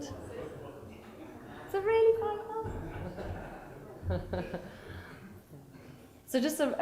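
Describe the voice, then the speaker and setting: A South African psychologist speaking to a conference audience and calmly guiding them through mindfulness exercises. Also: female voice, fairly high pitch. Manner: calm and guiding, slowing for instructions. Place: large conference hall. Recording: roomy hall with faint ambience.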